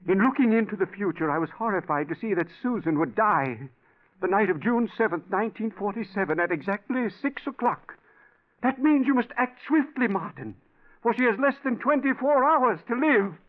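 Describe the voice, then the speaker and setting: Earnest spirit of an old man, 1940s radio play. Slightly high pitch, solemn, measured delivery, tinny vintage recording. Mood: urgent, pleading. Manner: solemn, deliberate, dramatic. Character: dead old man's earnest, urgent spirit narrating. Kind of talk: 1940s radio drama acting.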